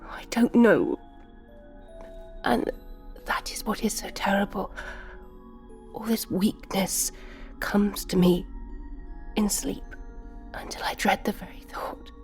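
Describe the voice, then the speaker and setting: Scared young woman in an audio drama, breathy little whispers, slow, music faint behind. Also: mid-range voice, steady tone. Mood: frightened. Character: frail frightened young woman, Lucy. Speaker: Lucy Westenra.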